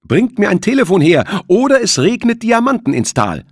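A man's voice: sits high, sing-song, moderate pace, no echo, studio quality, voice pushed up, full character voice. A man voicing a defiant, nervy teenage boy in an audiobook, speaking urgently and giving orders. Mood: commanding. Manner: urgent, commanding.

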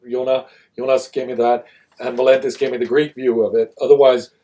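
A man's voice: medium pitch, little pitch movement, brisk, warm tone. Middle-aged man co-hosting, native Swedish and English. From Sweden. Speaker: live stream co-host. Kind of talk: unscripted live discussion. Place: small indoor room, seated.

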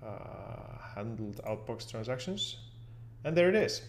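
Man narrating software tutorial, creaky voice. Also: mid-range voice, big pitch swings, measured pace, background noise. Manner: informal, thinking aloud step by step. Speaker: software instructor. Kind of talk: unscripted screen-share tutorial narration.

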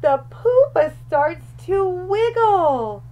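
A woman reading a children's picture book aloud in an expressive, deliberate storyteller's manner. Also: very high voice, dull audio. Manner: animated, deliberate, with questions and asides to young listeners.

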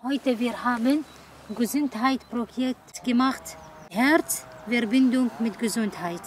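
A woman's voice: fairly high pitch, slow, a little hiss or hum. A middle-aged woman from Iraq speaking simple non-native German outdoors in a garden. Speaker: garden project participant. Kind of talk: documentary interview.

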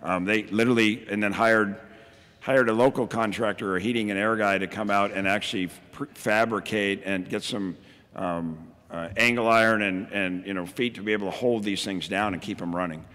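A man's voice: on the low side, steady tone, normal speed, a bit bright. A middle-aged man giving a plainspoken keynote talk from a podium mic and taking audience questions, with frequent ums and restarts. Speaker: county emergency services director, keynote speaker. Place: large hall at a podium. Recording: reverberant hall.

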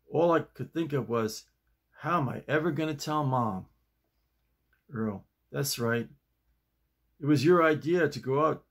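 Man around 70, medium pitch, unhurried and halting, plain home-recorded read or chat. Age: older, around 70. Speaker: YouTube host and playwright reading own work. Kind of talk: script reading and casual vlog talk. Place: small home office, indoors.

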